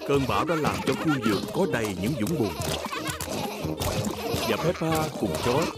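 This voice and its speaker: A man's voice: medium pitch, lively intonation, moderate pace. A man reading scripted narration for a children's cartoon as its narrator. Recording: loud music behind voice.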